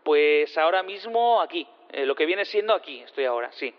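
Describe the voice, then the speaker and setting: Actor as awkward male scientist witness, deadpan, halting, higher voice, audio comedy, slightly dull sound. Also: lightly acted. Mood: awkward, sheepish.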